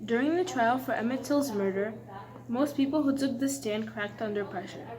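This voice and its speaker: A woman's voice: a little high, moderate pace, a crowd in the background. A woman reading a scripted news report aloud as one of two presenters.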